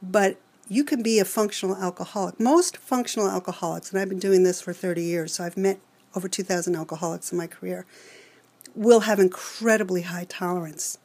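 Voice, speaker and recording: A woman's voice: on the low side, a little fast, a little mellow. A woman in her fifties with a New York accent, an alcohol counselor earnestly explaining things in an interview. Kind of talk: expert interview answers.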